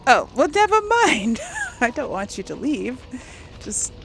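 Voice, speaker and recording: A woman's voice: on the high side, big pitch swings, a little slow, vocal fry, soft background music. A woman hosting a let's play, chatting casually to her viewers in an informal, playful way. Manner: chatty, addresses viewers, giggly asides. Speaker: gaming video host.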